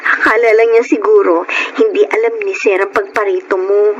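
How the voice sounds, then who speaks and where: An actress playing a meek, polite young housemaid in a Tagalog radio drama, speaking in a deferential, earnest way. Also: female voice, medium pitch, fairly even intonation, moderate pace, highs cut off, lightly acted. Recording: noisy radio drama recording.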